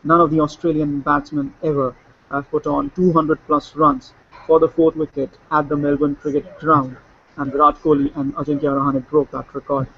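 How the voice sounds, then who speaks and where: A man's voice: fairly high pitch, level tone, normal speed, slightly rough, dull audio. A man talking through a cricket match in a casual discussion, fluent but with many fillers and restarts. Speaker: cricket analyst. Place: Sydney, remote link.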